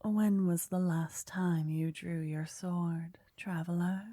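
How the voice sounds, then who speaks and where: A woman playing a gentle, flirty fortune teller in an ASMR roleplay, speaking softly in a breathy half-whisper, coaxing and soothing the listener up close. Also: on the low side, steady tone, takes it slowly, no room sound. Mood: warm, intrigued. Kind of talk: ASMR roleplay, intimate scripted address to listener. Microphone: close, dry microphone.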